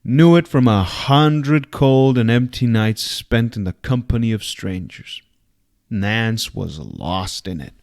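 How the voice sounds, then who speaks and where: Low, slow-paced male story reader. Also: animated, darkish tone. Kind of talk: dramatic audiobook-style narration.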